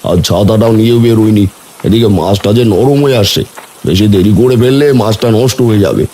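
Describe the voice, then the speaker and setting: Guy doing a humble kindly village man for a cartoon, low mellow voice, some noise behind. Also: brisk, natural voice. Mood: anxious, urgent. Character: kindly humble villagers: poor boatman and lonely widower. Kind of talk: animated story character dialogue.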